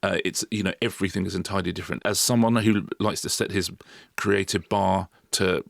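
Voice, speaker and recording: A man's voice: deep voice, fairly even intonation, a little fast, vocal fry, dry recording, clean studio sound. A middle-aged man, co-hosting a podcast in casual, enthusiastic chat that rambles with frequent restarts. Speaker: podcast co-host.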